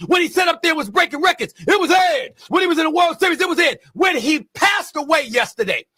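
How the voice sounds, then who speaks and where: A man's voice: very high voice, lively intonation, brisk, bright, forceful tone, no echo, shouting, clean studio sound. A man delivering an impassioned, indignant sports rant, hammering points with repetition and rhetorical questions. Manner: indignant, emphatic, rhetorical questions, repeats phrases.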